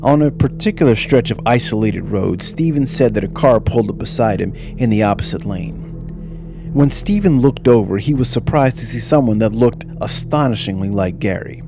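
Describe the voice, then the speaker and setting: A man's voice: lowish voice, animated, moderate pace, vocal fry, music in the background. A man reading scripted narration for a mystery documentary.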